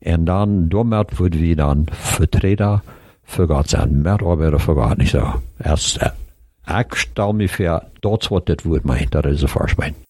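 A man in his forties with a Low German Mennonite accent who talks hesitantly in a casual Bible discussion. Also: unhurried, warm tone, no echo. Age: 40s. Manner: hesitant, searching for words. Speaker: discussion participant.

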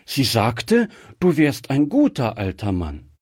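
Casting: eager, innocent little storybook girl played by a man, lifted high pitch, lively swings, inquisitive and earnest. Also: slow, slightly rough, dry recording, studio quality, exaggerated voice. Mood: curious, chatty. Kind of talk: acted storybook dialogue.

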